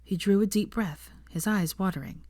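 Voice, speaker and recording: A woman narrating fan fiction in a measured, even-paced reading. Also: mid-range voice, dry recording, studio quality. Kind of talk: story narration.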